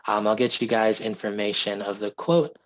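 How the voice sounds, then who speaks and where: A hoarse man explaining software pricing and support on a video call, polite and steady. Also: lowish voice, normal speed. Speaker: sales representative giving a software demo. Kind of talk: video call sales pitch.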